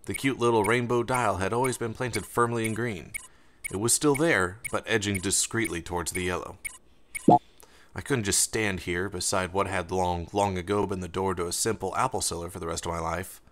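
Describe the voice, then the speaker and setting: A man reading first-person fiction as an audiobook narrator, in a measured, dramatic way. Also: sits low, steady tone, fairly bright tone, a little hiss or hum.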